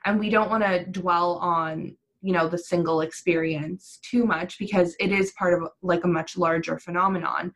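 A woman's voice: lowish voice, fairly even intonation, normal speed, hardly any pauses, a bit bright. A woman co-hosting a podcast, speaking in a conversational interview style. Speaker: podcast co-host.

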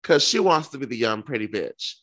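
A man's voice: medium pitch, expressive, brisk, fairly bright tone. A man co-hosting a casual, gossipy chat show, talking in sassy, campy slang. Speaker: podcast co-host. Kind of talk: casual gossipy chat about reality television.

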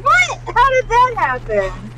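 A woman's voice: extremely high pitch, wildly swooping pitch, slow pace, very noisy. A woman co-hosting a casual, playful movie-reaction chat. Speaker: podcast co-host.

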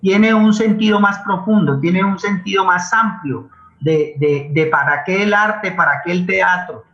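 A man's voice: high-pitched, measured pace, fairly bright tone. A Colombian man answering in a conversational interview, earnest and explanatory, often repeating words as he thinks. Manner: earnest, explanatory, hesitant repetitions. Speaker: interview guest, playwright and community theater maker. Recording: dull, echoey remote-sounding audio.

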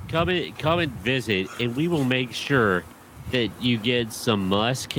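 A man in a casual group chat, joking in a drawn-out way. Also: medium pitch, a bit bright, a little hiss or hum, rough recording.

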